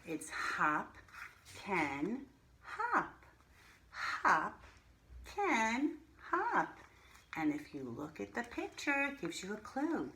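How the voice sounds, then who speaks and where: Very slow-paced woman teacher, bright and lively. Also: medium pitch. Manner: deliberate, enunciates single words, addresses children directly. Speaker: primary school reading teacher. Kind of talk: read-aloud reading lesson for young children. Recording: roomy echo, faint background noise.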